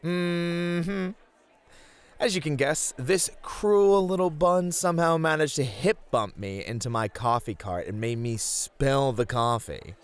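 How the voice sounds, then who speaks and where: Man playing a flustered bunny host guy, very animated. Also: a little high, slow, clear, resonant tone. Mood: wry, storytelling. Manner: flustered, hesitant, playfully protesting. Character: bashful yet flirty male bunny host, easily flustered. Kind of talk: flirty ASMR roleplay acting.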